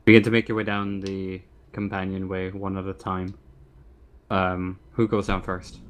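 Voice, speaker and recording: A man acting as game master, improvising narration for a tabletop roleplaying game in halting, repeated phrases. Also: sits low, little pitch movement, smooth.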